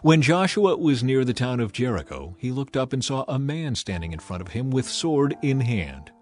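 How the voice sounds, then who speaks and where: A man with a medium-pitched voice narrates scripture as dramatized audio. His delivery is solemn and declamatory, like formal storytelling. Music plays softly behind him. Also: sing-song, normal speed. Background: background music.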